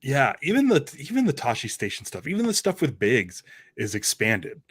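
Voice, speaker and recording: A man's voice: medium pitch, wildly swooping pitch, moderate pace, fairly bright tone, husky. A man chatting informally as a podcast panelist, talking in a loose, conversational way. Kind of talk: casual chat about a radio drama.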